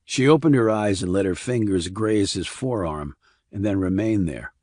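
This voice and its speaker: A man's voice: fairly low pitch, normal speed, darkish tone, no echo. A man reading a crime thriller audiobook as scripted narration.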